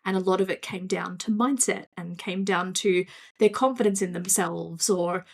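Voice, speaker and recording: A woman's voice: on the low side, fairly even intonation, normal speed, vocal fry. Chatty Australian woman guest. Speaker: guest, marketing coach. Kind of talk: podcast interview.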